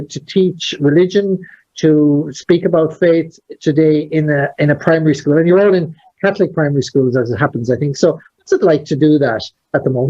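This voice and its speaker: Male host, fairly high voice, unhurried with fillers, dull lo-fi sound. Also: mellow. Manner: measured, hesitant with fillers. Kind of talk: hosted conversation.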